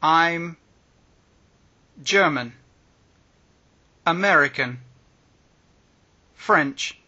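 Brief: male phrasebook reader, very slow, high and lively, slightly husky. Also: highs cut off. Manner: reads each phrase slowly with pauses. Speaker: language teacher.

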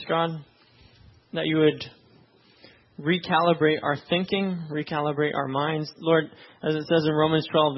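A man with a notably high voice leads his church, first in a hushed prayer and then in a relaxed, conversational sermon full of quick check-ins with his listeners. He grew up in Tanzania. The recording sounds slightly muffled, with the top end rolled off. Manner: conversational preaching with frequent "right?" check-ins. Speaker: pastor.

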